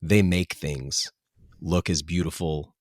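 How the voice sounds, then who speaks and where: A man from the United States hosting a podcast, talking in a quick, chatty way full of "like" and "you know". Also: rumbling low, steady tone, no echo, studio quality. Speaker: podcast host. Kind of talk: casual conversational interview.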